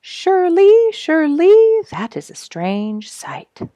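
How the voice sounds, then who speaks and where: Woman voicing a lively, lifted-pitch curious bluebird. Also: slow pace, no echo, exaggerated voice. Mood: amazed, curious. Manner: animated, exclaiming. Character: curious, polite male bluebird, storybook father.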